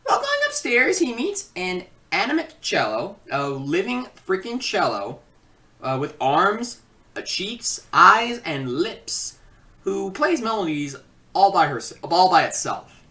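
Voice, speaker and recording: Animated woman reviewer, distant mic. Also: on the low side, slow pace. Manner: sarcastic, rambling asides. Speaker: video reviewer. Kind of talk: casual comedic review commentary.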